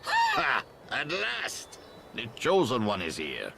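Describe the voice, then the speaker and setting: Slow, gravelly, commanding patriarch. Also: male voice, medium pitch, wildly swooping pitch, over music, heavily acted. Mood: jovial, grandiose. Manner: commanding, deliberate, dramatic pauses. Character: stern, proud father and leader, teasingly theatrical. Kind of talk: video game cutscene acting.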